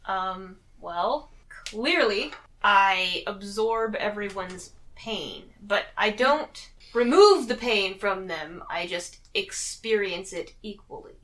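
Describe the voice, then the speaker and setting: A woman's voice: medium pitch, takes it slowly, a bit bright. A woman playing a tabletop game, casually discussing how its rules affect her character.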